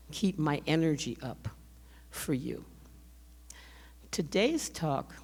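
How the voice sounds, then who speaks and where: An older woman giving a public lecture in a measured, conversational way, speaking to listeners directly while straining to keep her voice. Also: low voice, a little mellow. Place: lecture hall. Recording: lecture hall reverb.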